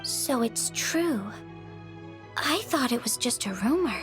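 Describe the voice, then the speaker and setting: A woman's voice: high-pitched, animated, slow pace, fairly bright tone, soft background music, full character voice. A woman playing a sweet, earnest young village woman in video game dialogue. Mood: surprised.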